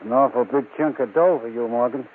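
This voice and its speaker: A man's voice: mid-range voice, fairly quick, lightly acted. Man playing threatening mob boss, clipped slangy talk, crackly old radio show. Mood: curt. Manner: curt, insistent. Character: menacing gang boss, curt and slangy. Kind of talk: 1940s radio crime drama acting. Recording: scratchy, tinny old-time radio recording.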